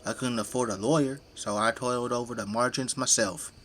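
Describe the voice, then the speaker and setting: A man's voice: medium pitch, fairly even intonation, brisk, fairly bright tone, a little hiss or hum, natural voice. An actor playing a folksy, boastful, tipsy middle-aged father who fights zombies with a flamethrower in an audio drama. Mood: candid, reminiscing. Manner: folksy, colloquial, easygoing bragging.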